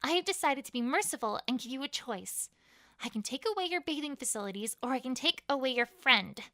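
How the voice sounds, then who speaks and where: Woman playing a high, imperious, cruel pony queen. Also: lively intonation, brisk, bright, forceful tone, no room sound, studio quality, full character voice. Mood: stern, menacing. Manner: commanding, scornful. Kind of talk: fan fiction audio drama.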